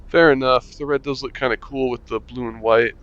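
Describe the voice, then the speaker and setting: A man reading a visual novel aloud as a gamer, voicing narration and the player in a relaxed, wry way and muttering while he plays. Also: mid-range voice, brisk. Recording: lo-fi mic with faint music.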